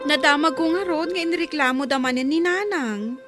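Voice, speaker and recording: A woman's voice: sits high, moderate pace, natural voice. A woman playing a troubled young adult daughter who confides plaintively in a radio drama. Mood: worried. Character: troubled adult daughter, concerned about her mother. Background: music.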